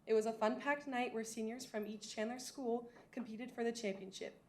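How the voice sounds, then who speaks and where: A woman's voice: on the high side, fairly even intonation, normal speed, slight background noise. A teenage girl, a student body president, delivering a rehearsed, enthusiastic report to a school board. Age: teens. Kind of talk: prepared report.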